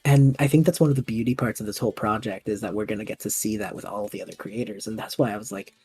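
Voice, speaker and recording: A man's voice: fairly low pitch, fairly even intonation, fast, dark tone, slightly rough, dry recording. A man hosting a casual interview, talking in an enthusiastic and thoughtful manner. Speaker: host and audio fiction creator.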